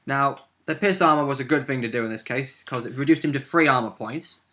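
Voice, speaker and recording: A man's voice: medium pitch, fairly quick, pushed and bright. A man casually recounting his moves as a player in a tabletop roleplaying game, in a jokey way. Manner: jokey, chuckling often.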